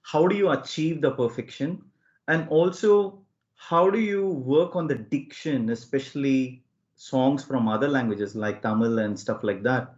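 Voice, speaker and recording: A man's voice: medium pitch, a little slow, a little mellow, highs cut off. A man hosting a podcast, asking questions with enthusiasm and frequent wows. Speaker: podcast host. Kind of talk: casual interview questions.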